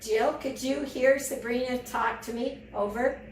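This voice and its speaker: A woman testing family two-way radios at home, speaking haltingly, laughing often and closing her turns with "over". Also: a little high, steady tone. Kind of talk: casual radio check-in. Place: home, indoors, later on a porch. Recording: echoey room, distant mic, background noise.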